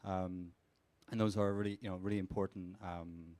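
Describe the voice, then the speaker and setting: Deep-voiced male researcher on a panel. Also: level tone, warm tone, no echo. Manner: measured, with frequent "you know" and "um". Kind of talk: panel discussion answer. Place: conference hall panel.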